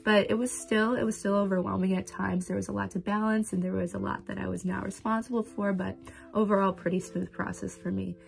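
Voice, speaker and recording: Young woman from Chicago, vocal fry, hesitant, faint music. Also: medium pitch, little pitch movement, a little fast. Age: young adult. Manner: hesitant starts with "um". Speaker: college freshman. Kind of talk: casual testimonial.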